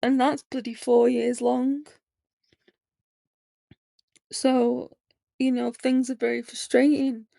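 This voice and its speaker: Gravelly, flat-voiced young woman. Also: sits high, slow, pauses often, dry recording. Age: 20s. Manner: candid, rambling, heavy with fillers. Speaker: podcast host. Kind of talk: personal monologue.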